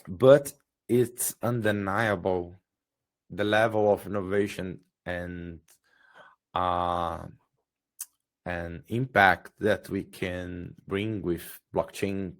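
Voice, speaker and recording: A deep-voiced man with a gravelly, hoarse edge and a Portuguese accent speaks slowly on a video call. English is not his first language, so he hesitates, restarts and fills gaps with "um" as he presents. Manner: hesitant, many fillers and restarts. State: hoarse, a bit sick. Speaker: guest presenter. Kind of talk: webinar presentation.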